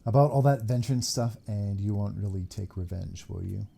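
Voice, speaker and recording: A woman's voice: very deep voice, normal speed, vocal fry, warm tone, a little hiss or hum. A woman doing casual gameplay commentary, offhand, muttering to herself and reading dialogue choices aloud. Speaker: video game streamer. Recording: game music behind voice.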